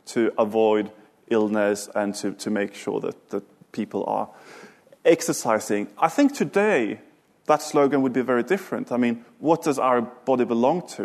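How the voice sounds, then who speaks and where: Measured Swedish-raised male speaker. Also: mid-range voice, a little mellow. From Sweden. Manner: measured, thoughtful, with hesitant restarts when answering. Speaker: author and speaker. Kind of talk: lecture, then panel discussion.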